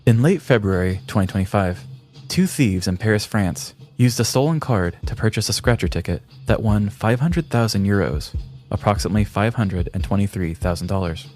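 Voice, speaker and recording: A man narrating a scripted story as a podcast host, in a measured, dramatic manner. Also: lowish voice, lively intonation, a little mellow. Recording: music behind the voice. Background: background music.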